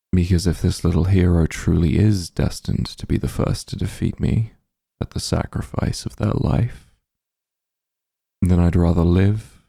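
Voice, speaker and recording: A smug, possessive demon lord played by a man for a fantasy ASMR roleplay. The voice is low and very dark, thick with gravelly fry. He speaks without hurry, softly commanding and condescending. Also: dry recording, clean studio sound, heavily acted. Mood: annoyed, threatening. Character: powerful demon lord, calm, smug, possessive, bargaining villain.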